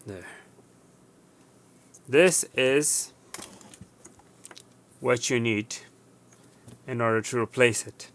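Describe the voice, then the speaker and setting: A man presenting a casual hands-on repair demonstration, going step by step in a halting way and correcting himself. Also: mid-range voice, fairly bright tone. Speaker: tutorial presenter.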